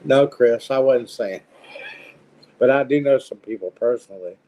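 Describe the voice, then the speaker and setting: A man speaking casually as the host of a relaxed conversational show. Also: medium pitch, moderate pace, low-quality recording. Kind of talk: casual chat.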